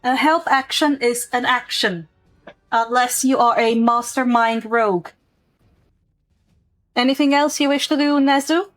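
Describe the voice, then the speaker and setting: A woman's voice: on the high side, fairly even intonation, a little slow, long pauses, a bit bright, no room sound, faint music behind. A woman narrating a tabletop game as game master, describing scenes and explaining rules patiently.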